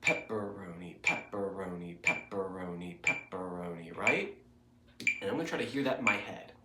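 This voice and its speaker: A man's voice: low voice, moderate pace, echoey room, heavy background noise. A man with a cold, sounding congested, talking a student through a guitar lesson in a loose, rambling way full of fillers. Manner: rambling, filler-heavy explanations. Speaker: guitar teacher. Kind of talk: casual recorded lesson to a student. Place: home music studio.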